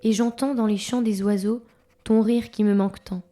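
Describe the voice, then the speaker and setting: A woman playing a tender reader of an old letter, in a soft, literary reading style. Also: mid-range voice, fairly even intonation, normal speed, close to an everyday voice. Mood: tender, wistful.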